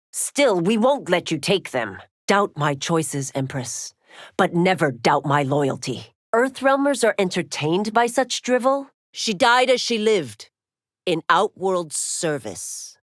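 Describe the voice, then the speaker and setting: A stern fighting game warrior lady, top cop type, low voice that swings a lot, formal and bossy, really dry sound. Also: no room sound. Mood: stern, authoritative, then formal, firm. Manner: formal, commanding, clipped declarations. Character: stern, dutiful warrior woman, chief law officer. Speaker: video game voice actress. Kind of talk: fighting game character voice lines.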